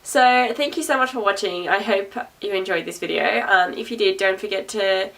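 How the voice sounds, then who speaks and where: A young adult woman talks casually to camera in a loud, projecting voice. She is sarcastic and chatty, repeats herself and laughs often. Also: mid-range voice, normal speed, gravelly. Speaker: vlogger. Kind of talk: rambling casual talk to camera. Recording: voice a little distant from the mic.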